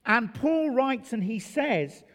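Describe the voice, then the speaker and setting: A man's voice: very high-pitched, lively intonation, slow pace, fairly bright tone. A man preaching a sermon in a church, telling stories and stressing key phrases with emphasis. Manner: storytelling, emphatic. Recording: long hall reverb.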